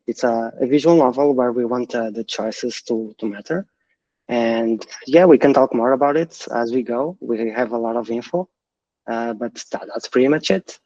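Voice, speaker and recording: A man's voice: mid-range voice, normal speed, dark tone, no echo, highs cut off. A man, a game director being interviewed, who halts and repeats words. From Portugal. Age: 30s. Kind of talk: interview guest.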